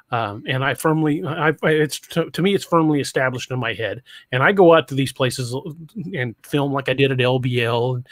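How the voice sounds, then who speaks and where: A man's voice: mid-range voice, dry recording, clean studio sound. A middle-aged man, hosting a casual livestream podcast, often restarting his sentences. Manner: stammering restarts, chatty and joking. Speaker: podcast host. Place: home room.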